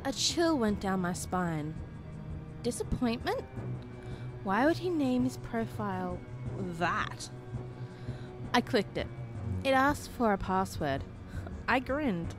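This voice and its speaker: Woman narrating horror over music. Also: mid-range voice. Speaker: narrator reading horror stories for listeners. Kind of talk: dramatic scripted horror story narration. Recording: music behind the voice. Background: background music.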